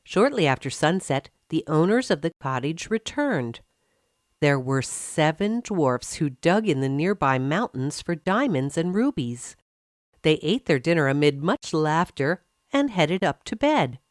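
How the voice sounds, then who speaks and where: A woman storyteller with a low voice reads a children's fairy tale. Her phrasing is careful and unhurried, with a very lively melody. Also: no echo, clean studio sound.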